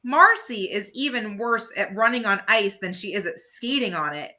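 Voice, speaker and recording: A woman reading a children's bedtime story aloud expressively, pausing to chat to her young listeners. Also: mid-range voice, animated, sharp tone.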